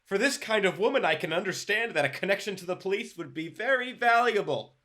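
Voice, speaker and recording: A smug police inspector acted by a man in a radio play, with a highish, forceful voice. He is sardonic and patronizing, leaning on his words as he pries and insinuates. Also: lively intonation. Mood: insinuating. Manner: sardonic, patronizing, drawn-out emphasis. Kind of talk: radio drama acting.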